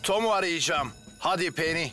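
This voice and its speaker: An actor voicing a calm, kindly cartoon firefighter who reassures and gives short commands during rescues. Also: male voice, fairly high pitch, normal speed, soft background music. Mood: reassuring, focused. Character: calm, heroic, kindly male firefighter in action. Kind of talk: animated children's cartoon dub.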